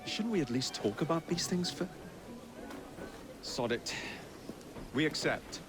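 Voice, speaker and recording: An actor playing a brash, impulsive young rogue with a British accent, blunt in a game drama. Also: male voice, a little high, expressive, measured pace, music in the background. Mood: cheeky, blunt. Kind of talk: dramatic game cutscene acting.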